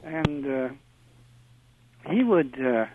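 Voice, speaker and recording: A man's voice: on the high side, expressive, background noise. Older man calling in on a tinny phone line. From Indiana. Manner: halting, with many fillers. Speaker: caller. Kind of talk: call-in radio chat.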